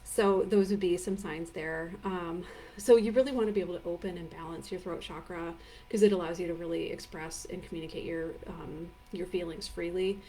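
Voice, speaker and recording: A woman's voice: lowish voice, fairly even intonation, brisk, distant mic, slight background noise, poor audio. A woman leading a live online training, talking casually with many fillers and answering viewer comments. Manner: chatty and warm-hearted, many fillers like "um" and "like"; reads viewer comments aloud and answers them. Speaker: energy healing teacher. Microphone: live video stream.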